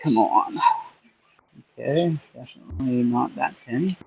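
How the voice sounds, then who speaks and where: A man's voice: mid-range voice, measured pace, vocal fry, muffled recording. Joking man picking locks on a livestream. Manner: joking, chatty, talks to himself while working. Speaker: livestream host. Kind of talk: casual chat while lockpicking.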